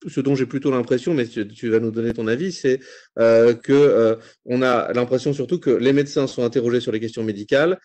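A man's voice: medium pitch, fairly even intonation, brisk, dark tone. French man moderating a webinar. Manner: hesitant, filler-heavy questions. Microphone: video call.

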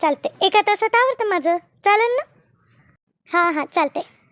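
A chatty village woman from an animated comedy, played by a woman. Her voice is extremely high, almost childlike. She speaks with a rural Maharashtrian Marathi accent in a folksy, repetitive way. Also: unhurried, muffled recording, exaggerated voice. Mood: brisk, friendly. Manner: colloquial, repetitive, rustic village talk. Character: chatty village women in a comic cartoon.

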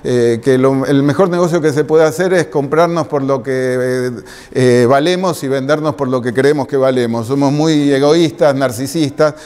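A man's voice: medium pitch, steady tone, normal speed, darkish tone, smooth. A middle-aged man from Argentina with a Buenos Aires accent, giving a conference lecture with slides, rambling with many "este" and "eh" fillers. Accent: Buenos Aires Spanish. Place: lecture room with projector screen. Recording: long reverb in a lecture room.

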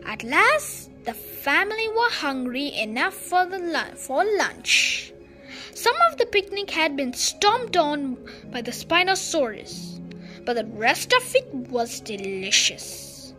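Very high-pitched child reading a storybook aloud. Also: very animated, pushed and bright, soft background music. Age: child, under 12. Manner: halting reading, repeating words and phrases.

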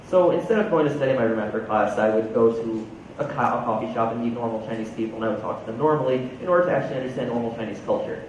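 A young American man with a New Jersey accent gives an earnest talk on stage, chatty and a bit halting, sometimes repeating words as he restarts. His voice carries a big, boomy hall echo and sounds somewhat distant. The sound is muffled, with a faint low rumble underneath. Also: darkish tone. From United States. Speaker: conference speaker. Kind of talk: stage talk switching between English and Mandarin. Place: large dark auditorium stage. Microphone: headset mic.

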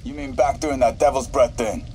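Man playing a deferential henchman, mid-pitched. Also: steady tone, brisk, darkish tone, a little hiss or hum, lightly acted. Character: deferential, slightly slow male gangster henchman. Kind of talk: video game voice acting.

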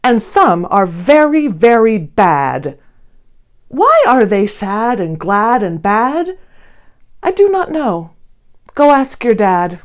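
A woman gives a theatrical read-aloud of a children's picture book. Her pitch swings widely from phrase to phrase, and she takes her time, shaping each rhyme deliberately. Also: big pitch swings. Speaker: children's storybook reader. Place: small indoor studio with blue backdrop.

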